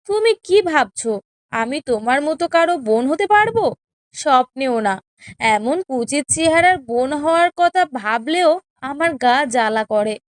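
Haughty, high-voiced young wife played by a woman. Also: clear, resonant tone, dry recording. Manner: scolding, sneering, imperious. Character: haughty, spiteful younger co-wife in a village household. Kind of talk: children's cartoon story dialogue.

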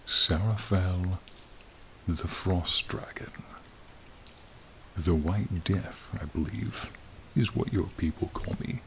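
Man voicing a deep, gravelly, courtly frost dragon. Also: exaggerated voice. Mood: proud, ominous. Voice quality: breathy, often sinking to a whisper. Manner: slow, courtly, deliberate. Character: ancient-sounding male frost dragon, charming, courtly, menacing turned friendly. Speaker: ASMR roleplay narrator. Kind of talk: ASMR roleplay, dramatic monologue. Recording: steady rain-like ambient noise.

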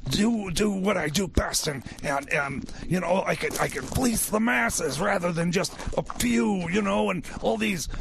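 A man's voice: sits high, creaky, noisy background. A man playing a bumbling, flustered schemer in comedy radio theater, stammering and muttering scattered thoughts. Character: bumbling, flustered whiskey-loving schemer mechanic.

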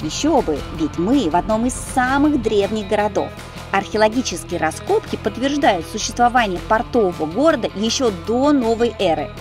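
A woman narrating a travel video as its host, in an expressive, storytelling voice-over. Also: a little high, moderate pace. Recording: voice-over with music behind.

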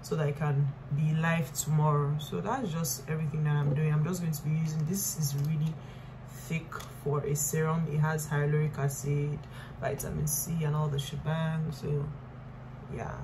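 Woman in her twenties, Nigerian accent, low voice, casual vlog chat, indoor room, noticeable hum and background noise. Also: level tone, moderate pace. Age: 20s. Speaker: lifestyle vlogger. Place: small bright room indoors.

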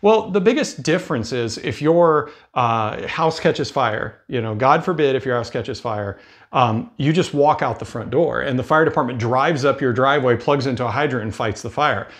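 A man's voice: mid-range voice, animated, normal speed. A man in his fifties with an American accent, talking casually and explaining things as a video host. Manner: conversational, explanatory. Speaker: cruise advice video host. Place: indoor room with bookshelves. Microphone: lapel mic.

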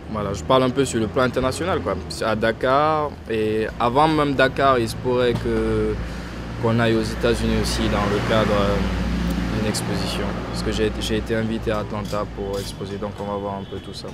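A young man from Guinea, a painter, answering interview questions in a casual, hesitant way with many restarts and softly trailing phrases. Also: lowish voice. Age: young adult. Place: outdoors in front of a painted backdrop, street traffic nearby. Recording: outdoor street noise behind voice.

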